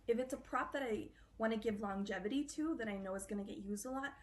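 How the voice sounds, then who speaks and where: A woman's voice: mid-range voice, a little hiss or hum. A woman cosplayer chatting casually in an interview, loose and full of fillers.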